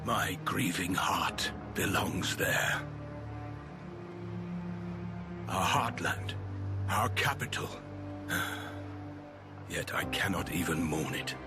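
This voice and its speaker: Low-voiced, grim weary soldier. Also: male voice, takes it slowly. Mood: grieving. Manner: weary, stern, formal. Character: grim, grieving soldier of a fallen capital. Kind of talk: video game cutscene acting. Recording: game music behind.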